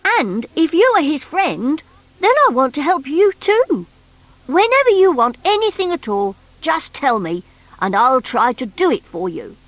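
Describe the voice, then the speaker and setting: A woman's voice: sits high, big pitch swings, a little slow, voice pushed up. A woman voicing a small half-monkey, half-rabbit storybook creature, pleading and eager to please. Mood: earnest. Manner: pleading, effusively grateful.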